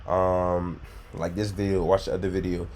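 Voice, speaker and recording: Deep-voiced blunt man reacting to clips. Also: little pitch movement, moderate pace, a little mellow. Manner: blunt, profane, preachy advice-giving. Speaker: reaction video host. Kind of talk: casual reaction commentary.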